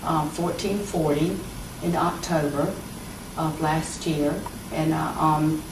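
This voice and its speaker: A woman's voice: low-pitched, steady tone, takes it slowly, far from the mic. A woman in her fifties answering interview questions as a patient, talking conversationally with hesitant fillers. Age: 50s. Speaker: patient giving a testimonial. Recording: echoey room, voice from off-mic, background noise.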